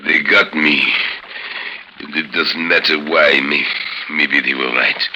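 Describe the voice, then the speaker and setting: An actor playing a big, dying French crime boss with a French accent, speaking haltingly and solemnly in an old radio drama. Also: male voice, a bass voice, flat delivery, pushed and bright, full character voice. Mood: weary, fading. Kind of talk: 1950s radio drama acting. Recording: noisy old 1950s radio recording.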